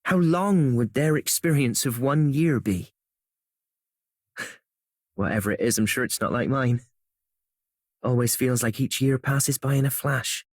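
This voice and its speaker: An actor playing a contemplative nature lover in a video game, musing to himself. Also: male voice, mid-range voice, unhurried, pauses often, no room sound, studio quality, lightly acted. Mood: pensive. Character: contemplative, nature-watching man. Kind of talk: video game character monologue.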